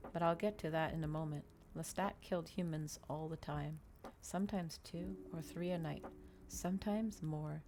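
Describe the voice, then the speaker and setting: A woman reading a novel aloud in a soft, measured ASMR style, close to the microphone. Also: deep voice, vocal fry, slight background noise.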